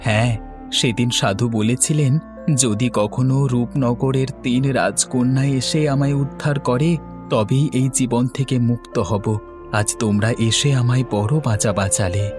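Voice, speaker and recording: A man's voice: mid-range voice, fairly even intonation, moderate pace, over music, close to an everyday voice. A man voicing a courteous young prince in a cartoon, speaking in an earnest, formal way. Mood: earnest, grateful.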